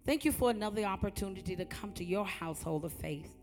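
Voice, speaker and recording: A woman with a strong, loud voice, preaching and praying in a church sanctuary with a fervent, rhythmic cadence. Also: mid-range voice, fast, soft background music. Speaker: guest preacher. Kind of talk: church sermon and prayer. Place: church sanctuary before a congregation.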